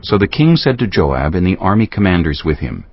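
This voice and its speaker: Deep, expressive male scripture narrator. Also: fairly quick, breathy edge, no echo, highs cut off.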